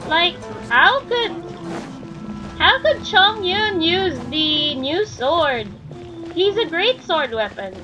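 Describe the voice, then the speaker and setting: A woman's voice: extremely high pitch, very animated, takes it slowly, low-quality recording. A woman chatting casually with viewers as she livestreams a game, reacting in short interjections. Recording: game music behind voice.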